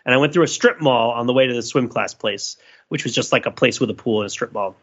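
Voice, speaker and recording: A man, a podcast co-host talking casually and analytically, explaining his points in a wordy, halting way. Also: mid-range voice, highs cut off.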